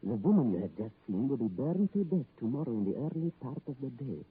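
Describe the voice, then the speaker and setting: An actor playing a solemn Indian guide who narrates an ominous adventure in deliberate, formal 1930s radio style. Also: male voice, medium pitch, very animated, slightly rough. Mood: grave, hushed. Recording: tinny old radio broadcast, music behind. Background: dramatic music.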